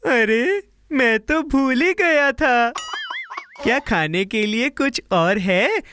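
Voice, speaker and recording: A woman's voice: a little high, slow pace, noisy background. A woman voicing a cheerful, impulsive, kind-hearted young boy in a playful, childlike way for a cartoon dub. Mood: hungry, eager. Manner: playful, expressive, childlike delivery. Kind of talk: cartoon dubbing. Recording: music bed under the voice.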